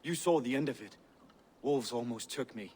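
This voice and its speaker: A man's voice: medium pitch, normal speed, darkish tone, husky, lightly acted. A man playing an earnest, evasive Viking visionary in a video game, speaking with a portentous, fervent manner. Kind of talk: video game dialogue acting.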